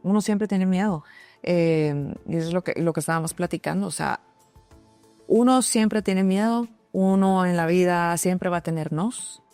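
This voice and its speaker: A woman's voice: fairly low pitch, moderate pace, a little mellow, clear, resonant tone, no echo, faint music behind. A woman, speaking casually and reflectively as an interview guest. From Guatemalan, grew up in Texas, United States. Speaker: interview guest, singer-songwriter and doctor.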